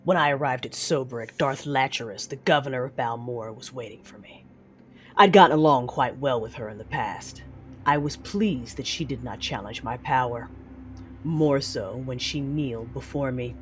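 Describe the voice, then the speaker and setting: An actress playing a cold, commanding Sith lord in an audio drama, speaking in measured, menacing tones. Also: female voice, rumbling low. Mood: cold resolve. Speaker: narrator and character. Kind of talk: dramatic first-person audio drama narration. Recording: music under the voice.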